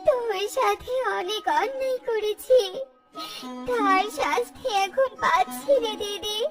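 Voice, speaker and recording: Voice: extremely high pitch, takes it slowly. A performer voicing a haughty, melodramatic young female animal in a Bengali cartoon, whiny and demanding. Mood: tearful, pleading. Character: haughty, jealous young female animal wanting a rich husband. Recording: loud music under the voice.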